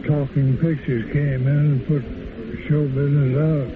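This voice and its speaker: A man's voice: on the high side, little pitch movement, normal speed, noisy background. An older man, a former vaudeville performer, reminiscing folksily as a radio interview guest. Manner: folksy, nostalgic, chuckling. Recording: tinny old radio broadcast with loud music.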